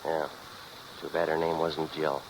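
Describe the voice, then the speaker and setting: Deep-voiced, deadpan hard-boiled private eye. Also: male voice, unhurried. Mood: dry. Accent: American. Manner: deadpan, sardonic. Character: hard-boiled, wisecracking male private eye narrating his case. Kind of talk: 1940s radio noir acting, scripted first-person narration. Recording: muffled, noisy 1940s radio recording.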